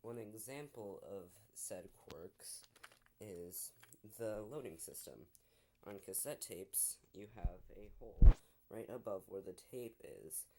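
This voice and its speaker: A man giving an informal explanation as a presenter, speaking haltingly in short broken phrases with pauses. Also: lowish voice, noisy background. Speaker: video presenter explaining technology. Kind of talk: unscripted explainer, demonstrating to camera.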